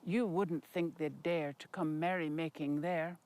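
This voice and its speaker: A woman's voice: low voice, fairly even intonation, a little slow, darkish tone, dry recording. An older woman being interviewed, reciting and reminiscing. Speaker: interviewee reading a poem.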